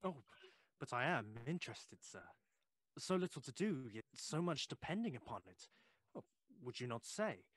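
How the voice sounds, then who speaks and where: Courteous Victorian gentleman, dry sound. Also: a little high, very animated, normal speed, no echo. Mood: curious. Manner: polite, formal, inquisitive. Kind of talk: audio play acting.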